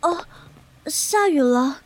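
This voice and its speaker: A woman's voice: sits high, expressive, takes it slowly, a little hiss or hum. A woman playing a flustered, apologetic young female office assistant in a modern comedy radio drama, hesitant and deferential. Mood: mild, polite.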